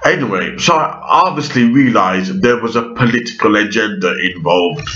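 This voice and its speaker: A man with a deep, bassy, harsh voice, talking to camera at home in a hesitant monologue full of ums that grows heated. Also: sharp tone. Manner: slow and hesitant with frequent ums, rising into heated ranting. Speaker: vlogger. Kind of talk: unscripted opinion monologue. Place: at home with pet rabbits nearby. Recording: echoey room, dull lo-fi sound.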